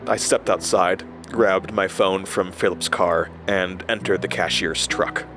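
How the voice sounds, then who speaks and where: An actor playing a haunted, weary man confiding his supernatural ordeal in a halting horror audio drama monologue. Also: sits low, steady tone, noisy background. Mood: weary, driven. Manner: halting, confessional, dramatic pauses. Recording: music behind the voice.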